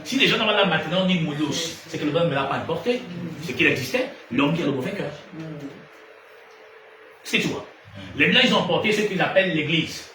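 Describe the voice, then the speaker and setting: A man preaching a sermon in an animated way, rising to loud emphatic peaks and then dropping low. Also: a little fast, pauses often. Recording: echoey room from far off, noisy ambience.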